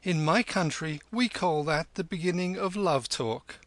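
Man voicing a cocky, high-pitched street boy. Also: sing-song, unhurried, a bit bright, no room sound, heavily acted. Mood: mocking. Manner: taunting, loftily self-assured. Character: cocky, lofty, quick-witted street boy disciple. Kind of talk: storybook character voice.

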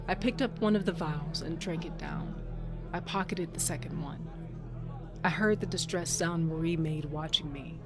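An actress playing Tasha, a tough, rage-driven woman cage fighter, narrating in the first person in an audio drama with a brooding, dramatic delivery. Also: deep voice.

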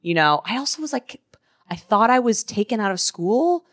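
A woman hosting a podcast, telling stories in a casual, animated way with swearing. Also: fairly high pitch, moderate pace. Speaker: podcast host. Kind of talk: casual chat.

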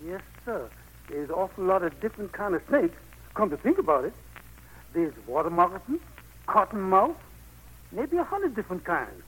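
A man's voice: high-pitched, sing-song, normal speed, pauses often, fairly bright tone, breathy edge, heavily acted, poor audio. An actor playing a humble, crafty country man in an old radio play, deferential and coaxing. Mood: wheedling. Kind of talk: 1940s-50s radio play acting. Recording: vintage radio broadcast recording.

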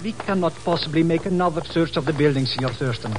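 An actor playing a formal, polite, anxious security colonel in a 1950s radio drama, with precise wording. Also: male voice, on the high side, fast talker, natural voice. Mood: grave, urgent. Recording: noisy old 1950s radio recording.

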